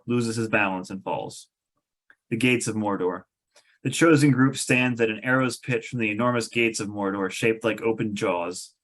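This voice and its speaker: A man's voice: on the low side, a bit bright. A man reading stage directions aloud as narrator of a scripted table read.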